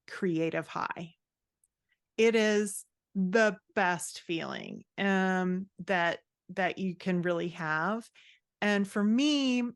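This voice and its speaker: A woman speaks as a podcast guest in a relaxed, conversational style. Her voice sits at a medium pitch and she comes across as upbeat and expressive. The sound is dry, with hardly any room on it. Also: smooth, no echo. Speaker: podcast guest, fantasy author. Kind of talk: casual interview chat.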